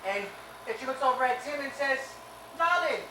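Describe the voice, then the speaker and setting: An actress playing a chatty hospital worker telling a cheeky joke. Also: female voice, high voice, lively intonation, moderate pace, sharp tone, a little hiss or hum. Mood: joking. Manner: chatty, joking. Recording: roomy film sound from a distance.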